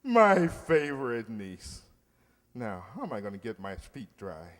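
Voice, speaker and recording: A man's voice: medium pitch, sing-song, a little slow. Man acting a blustery, swooping sentimental uncle, echoey hall. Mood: sheepish, fond. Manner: theatrical, hesitant, blustering. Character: pompous, sentimental, lovestruck middle-aged uncle. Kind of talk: old-time radio comedy acting. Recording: echoey hall with long reverb.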